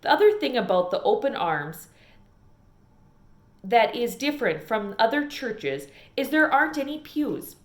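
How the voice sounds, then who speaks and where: A woman reading a children's novel aloud as an expressive storyteller, in an echoey room. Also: a little high, unhurried. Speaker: storybook reader.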